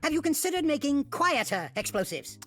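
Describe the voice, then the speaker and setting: A man's voice: very high voice, sing-song, a little fast, a bit bright, no room sound, soft background music, full character voice. A man voicing a small, fussy, snobbish inventor creature in a video game, pompous and precise with rolled Rs. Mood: haughty. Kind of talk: video game character voice lines.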